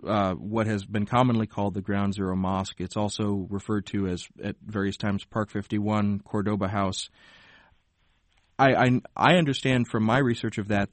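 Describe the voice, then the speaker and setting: A man hosting a public radio interview, asking measured, thoughtful questions with some restarts. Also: lowish voice, no room sound. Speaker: radio host.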